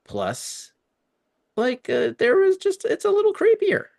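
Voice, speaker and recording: A man's voice: very high voice, wildly swooping pitch, a little slow, gravelly, crowd noise. A middle-aged man co-hosting a podcast, chatting informally and emphatically as a fan. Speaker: podcast co-host. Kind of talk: casual fan chat.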